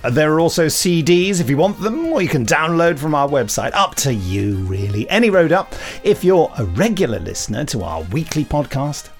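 A man's voice: fairly high pitch, very animated, moderate pace, hardly any pauses, soft background music. A man co-hosting a podcast in a chatty, wry, self-deprecating and rambling way. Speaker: podcast co-host. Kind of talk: chatty, semi-scripted podcast presenting.

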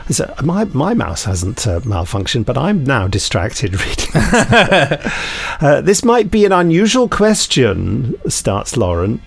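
A British man with a gravelly, creaky voice at an ordinary male pitch co-hosts a casual podcast. He reads listener emails aloud in a jokey, digressive way, often breaking off mid-sentence to add asides. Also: huge pitch swings, a little slow. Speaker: podcast co-host.

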